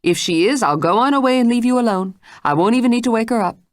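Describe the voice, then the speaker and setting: A woman's voice: a little high, expressive, quick pace, no echo, studio quality, pitched above the performer's own voice, close to an everyday voice. A woman voicing a stern, determined housekeeper who scolds and threatens. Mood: threatening. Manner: scolding, forceful.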